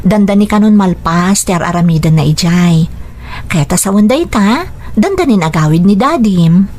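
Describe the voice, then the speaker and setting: A woman playing a patient, dutiful wife and stepmother in a Philippine radio drama, speaking in an earnest, gentle way. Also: mid-range voice, lively intonation, moderate pace, a little hiss or hum, close to an everyday voice. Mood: reassuring.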